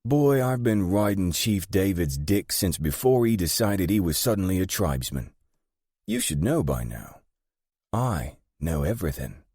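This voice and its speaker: A man voicing a tough, foul-mouthed gang matriarch and grandmother with a British accent, blunt and sharp. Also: deep voice, very animated, a little slow, no echo, studio quality, pitched above the performer's own voice, exaggerated voice. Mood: blunt, scornful.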